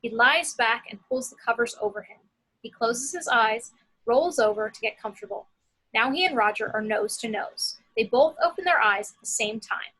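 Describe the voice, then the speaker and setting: A woman narrates screenplay action from a script. Her voice sits fairly high, with a sharp, forceful edge and some rasp. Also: animated, moderate pace, low-quality recording. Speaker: narrator reading action descriptions.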